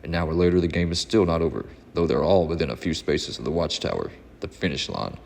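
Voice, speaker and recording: A man's voice: rumbling low, expressive, brisk, gravelly, a little mellow. A man hosting a comic book channel, talking casually and narrating a read-along. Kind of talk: casual vlog talk and dramatic comic read-aloud narration. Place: indoor room. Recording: echoey reverberant room, audible background noise.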